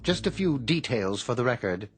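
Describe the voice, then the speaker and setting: An actor playing a businesslike, officious tax collector in a radio drama, with a dry manner. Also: male voice, medium pitch, very animated, moderate pace, music in the background, close to an everyday voice. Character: brisk, officious tax man, blandly unhelpful.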